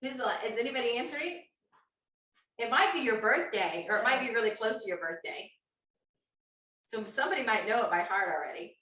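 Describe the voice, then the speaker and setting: A woman's voice: on the high side, expressive, fairly quick, long pauses, sharp tone. A woman hosting a live online cooking show, chatty and quizzing her audience with frequent laughs. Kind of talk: upbeat live-stream hosting. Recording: echoey room, distant mic.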